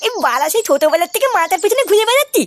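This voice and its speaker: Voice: extremely high pitch, big pitch swings, very fast, a little hiss or hum. A performer voicing a cheeky, foul-mouthed young boy in a comedy cartoon, brash and whiny. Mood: aggrieved. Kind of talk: exaggerated Bengali cartoon comedy dialogue.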